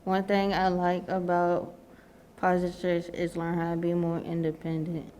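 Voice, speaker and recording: A nineteen-year-old woman introducing herself as an intern in a matter-of-fact, steady way. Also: lowish voice, measured pace, slight background noise. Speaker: program intern. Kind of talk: prepared self-introduction.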